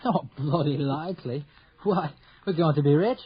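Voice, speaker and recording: An actor playing a cheeky, sceptical young working-class son in an old-fashioned radio drama, husky and teasing. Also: male voice, on the high side, normal speed. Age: young adult. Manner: joking, teasing. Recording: dull lo-fi radio drama recording.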